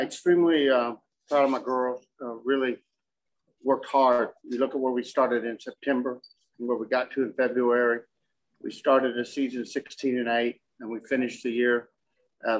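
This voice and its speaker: A middle-aged man speaks as a coach at a postgame press conference. His pitch is medium, and he talks in a measured, halting way, restarting phrases and repeating words as he answers. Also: low-quality recording. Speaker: softball coach. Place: indoor press conference room with curtain backdrop.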